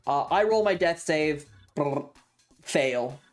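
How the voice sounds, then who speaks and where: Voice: mid-range voice, expressive, takes it slowly, soft background music. A streamer telling a story casually and emphatically to viewers, with frequent swearing.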